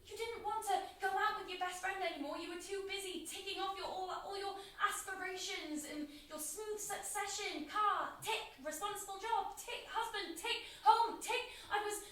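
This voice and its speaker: A woman's voice: very high voice, normal speed, few pauses, a bit bright. An actress playing a guilt-ridden, blunt young woman in a naturalistic drama. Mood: bitter, accusing. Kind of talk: naturalistic stage drama acting. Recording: very echoey room, distant mic, noisy.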